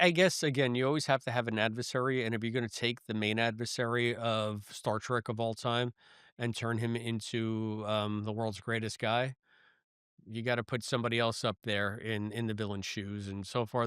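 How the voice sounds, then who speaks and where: A man's mid-pitched voice in a dry, close recording. He co-hosts a podcast, talking casually and thinking aloud, often posing rhetorical questions as he reviews. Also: fairly even intonation, moderate pace, fairly bright tone, smooth, dry recording. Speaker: podcast co-host. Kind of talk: casual review chat about a science fiction audio drama.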